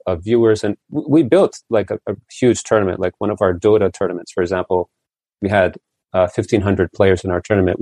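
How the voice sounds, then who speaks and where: A man's voice: sits low, darkish tone, no room sound. A man in his forties, the founder of a coaching business, answering interview questions in a casual way with many restarts and repeated words. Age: 40s.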